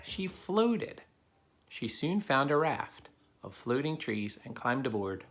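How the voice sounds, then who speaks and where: A man's voice: mid-range voice, big pitch swings, slow pace, a bit bright. A man reading a children's picture book aloud, explaining things simply as he talks to a child. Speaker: adult reading to a child helper. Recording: clear background noise.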